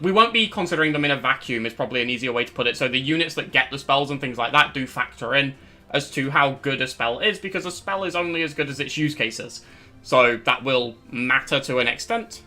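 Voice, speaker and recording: A young man streaming live with a slightly high, bright and forceful voice that keeps cracking as it starts to go. He talks in a loose, rambling way and often corrects himself mid-sentence. Faint music plays quietly behind him. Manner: rambling, self-correcting, chatty. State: voice cracking, losing his voice. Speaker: streamer hosting a tier list stream. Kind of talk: casual live-stream chat. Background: faint background music.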